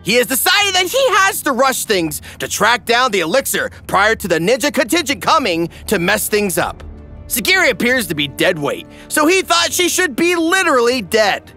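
A man's voice: very high-pitched, very animated, normal speed, sharp tone, soft background music. A man delivering scripted comedic recap narration in a theatrical, wry, emphatic way.

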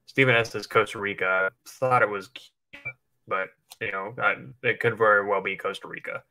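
A man with a fairly low voice and a bright, forward edge. He talks like a livestream co-host in casual, rambling conversation, informal and loose in his wording. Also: steady tone, normal speed, low-quality recording. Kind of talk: casual chat speculating about films.

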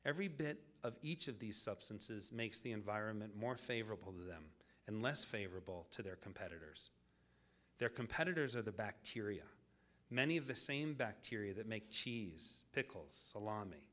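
Man, lecturer, lowish voice, scripted talk, deliberate phrasing, dramatic pauses. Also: animated, a bit bright.

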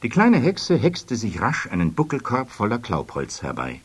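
A man's voice: medium pitch, huge pitch swings, moderate pace, husky. A man narrating a children's fairy-tale audio play as a scripted storyteller. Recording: music behind, children's cassette production.

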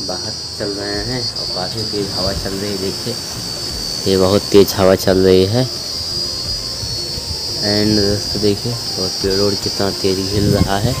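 A man's voice: on the low side, rough recording. Young man vlogging from village fields. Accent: Uttar Pradesh Hindi. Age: young adult. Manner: chatty, repeatedly addresses viewers as friends. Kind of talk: casual vlog narration. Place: rural village, indoors and outdoors in fields. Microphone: phone camera at arm's length.

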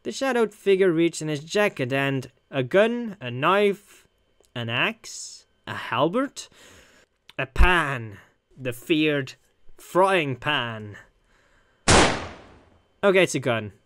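A man's voice: a little high, big pitch swings, slow, pauses often, slight background noise. A man hosting a gaming video, reading a visual novel aloud in a theatrical way with jokey commentary. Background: game music.

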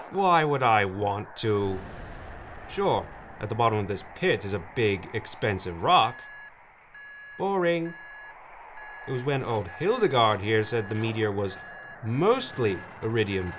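An actor playing a gleeful mad villain in an audio drama, mocking, theatrical and drawling. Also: male voice, fairly low pitch, expressive, slow, a bit bright, lo-fi sound, slight background noise. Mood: gloating. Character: gleeful mad villain, smug greedy schemer.

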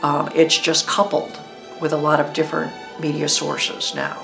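Gravelly, even-toned woman over music. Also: sits low, normal speed. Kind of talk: documentary interview talk.

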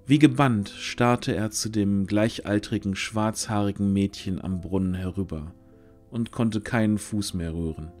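Slightly low-voiced male storyteller. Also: a little slow, darkish tone, soft background music. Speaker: narrator. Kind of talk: scripted fantasy audio drama narration.

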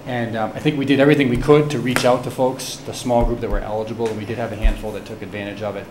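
Flat-voiced man reporting quickly. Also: mid-range voice. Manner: earnest, fast, with many restarts. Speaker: town administrator. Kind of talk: official report at town meeting. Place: town hall meeting room. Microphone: table microphone. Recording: echoey room with hiss.

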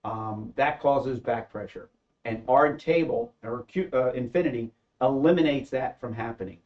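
Middle-aged man, casual engineer explaining his invention, halting with fillers, slightly muffled studio sound. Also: mid-range voice. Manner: hesitant, many fillers. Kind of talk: casual panel discussion. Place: studio set with backdrop.

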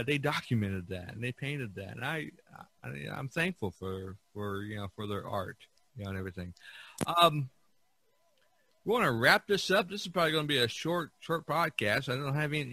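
A man hosting a podcast in casual chat, speaking haltingly and repeating words as he searches for phrasing. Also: mid-range voice, animated, pushed and bright, no room sound. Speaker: podcast host.

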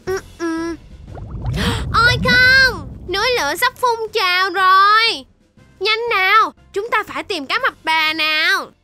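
High, bright, eager baby shark. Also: female voice, slow, faint music behind, exaggerated voice. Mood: determined, cheerful. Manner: exclamatory, sing-song, addresses young viewers directly. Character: eager little baby shark, young child hero. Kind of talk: children's cartoon dubbing.

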